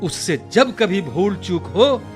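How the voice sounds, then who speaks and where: An elderly village patriarch in a radio drama. The voice is high for a man and swings widely, admonishing yet placating, with faint music behind. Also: sing-song, takes it slowly, full character voice. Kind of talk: Hindi radio drama acting.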